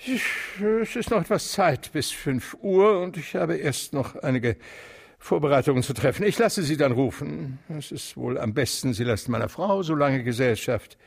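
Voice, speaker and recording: An actor playing a resigned, courteous but authoritative doctor in a radio drama, giving instructions matter-of-factly. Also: male voice, on the high side, animated, normal speed, gravelly, husky, exaggerated voice. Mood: businesslike, courteous.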